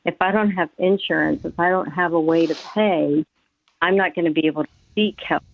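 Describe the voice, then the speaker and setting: A woman's voice: lowish voice, brisk, vocal fry, narrow, tinny audio. A woman speaking as a mental health professional in a radio interview, earnest and explaining with many fillers.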